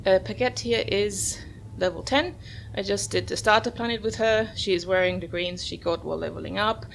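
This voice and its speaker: A woman's voice: medium pitch, moderate pace, gravelly, slight background noise, poor audio. A woman hosting a gameplay video, giving casual commentary as she plays. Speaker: gamer, host of the video series. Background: soft game music.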